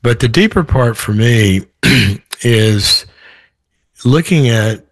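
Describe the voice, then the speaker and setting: A man with a hoarse voice, a guest in an interview, talking in a thoughtful, rambling way with many restarts. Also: mid-range voice, lively intonation, slow pace, no room sound. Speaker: author guest. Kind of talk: podcast interview.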